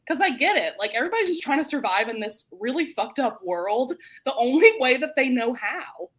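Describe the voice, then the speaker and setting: Bright-voiced woman chatting casually. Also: high-pitched, big pitch swings, fairly quick, slightly rough. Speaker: host of a book readalong live show. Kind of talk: casual book chat.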